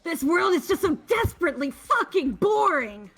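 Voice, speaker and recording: A woman's voice: very high voice, sing-song, moderate pace, fairly bright tone, faint music behind, exaggerated voice. A woman playing a crude, bored, flippant young female villain in a fan-voiced game. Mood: bored irritation. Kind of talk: fan dub of a visual novel game.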